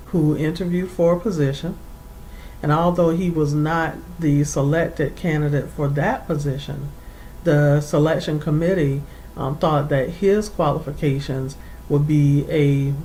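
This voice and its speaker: A career coach speaks in a mid-range voice, laying out advice in formal, measured phrases. The delivery is deliberate and instructional, like a prepared lesson. A faint noise and low rumble sit behind the voice. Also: a little mellow, rough recording. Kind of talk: instructional advice to camera, semi-scripted.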